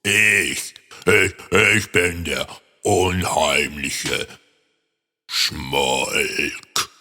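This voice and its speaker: A man's voice: a bass voice, drawn-out words, pushed and bright, exaggerated voice. A man voicing an eerie, lonely monster in a children's story, blustering, stuttering and sulky. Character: eerie, smelly, lonely male monster guarding a chest. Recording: long reverb.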